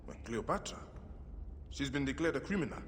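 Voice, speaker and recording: An actor playing a grim, devoted male avenger of ancient Egypt in a video game cutscene, speaking in a solemn, terse, measured way. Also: medium pitch, lively intonation, natural voice. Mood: questioning. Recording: long echoing reverb, clear background noise.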